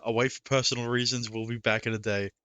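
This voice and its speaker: A man's voice: medium pitch, flat delivery, fast, sharp tone, clear, resonant tone. A man doing casual live gameplay commentary, informal, reactive and prone to swearing, close on the microphone. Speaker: game streamer.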